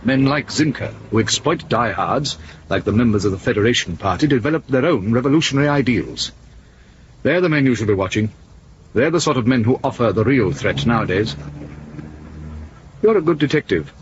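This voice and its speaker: An actor playing an evasive, quietly menacing senior male official, measured and condescending, in a 1970s British radio drama. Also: medium pitch, expressive, lightly acted. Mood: veiled threat. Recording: dull, lightly noisy 1970s radio recording.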